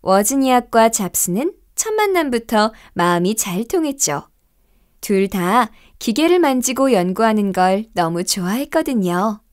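A woman narrating a scripted children's story in an expressive, gentle storytelling manner. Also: on the high side, unhurried. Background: music.

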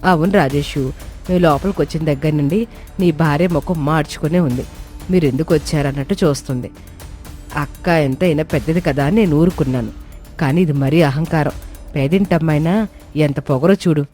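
A woman's voice: low voice, a little fast, mellow, soft background music, lightly acted. A woman voicing a resentful visiting aunt in a dramatised moral story, complaining and insinuating. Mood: offended, insinuating.

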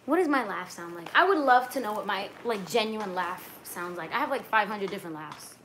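A woman's voice: medium pitch, animated, fairly quick, a bit bright, a little hiss or hum. A young woman chatting casually on a livestream from her bedroom, slangy, chatty, swearing freely. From Dominican heritage. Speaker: vlogger on a livestream. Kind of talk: casual livestream chat, reading out viewer comments. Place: bedroom at night, wrapped in a blanket. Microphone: webcam or phone at arm's length.

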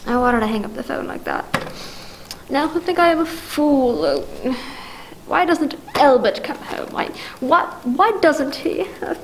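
A woman's voice: high-pitched, sing-song, unhurried, heavily acted. A young woman acting a nervous, demanding bedridden wife who stammers fretfully, in a 1940s-style radio thriller play. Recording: noticeable background noise.

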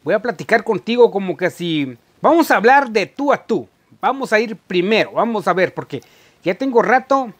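A middle-aged man, chatting casually to camera in a halting, repetitive way as a vlogger. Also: high-pitched, sing-song, slightly rough. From Guatemala. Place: indoor room at home.

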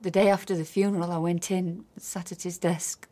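A woman's voice: on the low side, flat delivery, moderate pace, warm tone, lightly acted. A woman acting a grieving, trusting, lonely wife in a naturalistic radio drama. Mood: confiding, needy.

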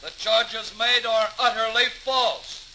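Very high-voiced blustering inspector. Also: male voice, level tone, slow, sharp tone, a little hiss or hum, exaggerated voice, low-quality recording. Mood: indignant denial. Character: indignant, blustering male city inspector. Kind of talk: 1940s radio drama acting.

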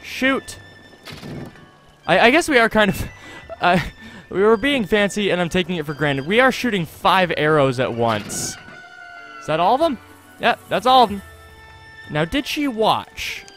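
A man's voice: high voice, big pitch swings, moderate pace, fairly bright tone, soft background music. A young man hosting a casual game playthrough with wry, joking, exaggerated exasperation, speaking into a close microphone. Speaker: gaming video host. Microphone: close USB desktop microphone.

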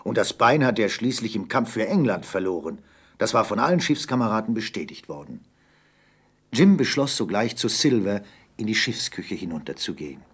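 Male narrator for adventure audio drama, low-pitched, husky, dramatic delivery. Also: expressive, normal speed, a bit bright. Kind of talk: dramatic scripted story narration.

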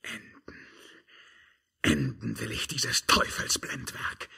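Tormented emperor, sharp and piercing. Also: male voice, slow, whispered. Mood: haunted, brooding. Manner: declamatory, theatrical. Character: tormented young emperor fighting a witch's spell, desperate and imperious. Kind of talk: 1950s German radio drama acting, verse.

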